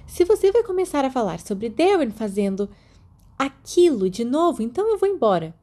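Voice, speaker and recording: A Brazilian woman voicing an excitable, easily flustered teenage schoolgirl in an audiobook story, emphatic in manner. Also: sits high, normal speed, pitched above the performer's own voice. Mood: indignant. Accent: Brazilian Portuguese.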